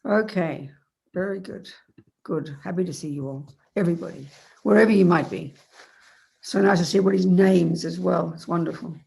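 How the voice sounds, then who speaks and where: A meditation teacher with a cold, sounding a bit croaky, explaining in a rambling, self-correcting way over a video call. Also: female voice, on the low side, fairly quick, mellow, poor audio.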